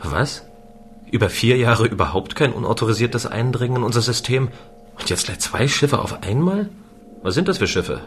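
A man's voice: medium pitch, lively intonation, moderate pace, faint music behind, close to an everyday voice. A man playing a lonely, easygoing space station guard, narrating and speaking in a scripted sci-fi radio play. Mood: surprised, brisk. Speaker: voice actor and first-person narrator.

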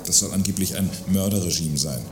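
A man acts out a self-righteous industrialist in a satirical radio play. His low voice is formal and pompous, full of indignation. The sound is roomy, with faint noise behind it. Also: a little fast, lightly acted. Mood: aggrieved, dismissive. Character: self-righteous, award-winning male arms manufacturer and husband.

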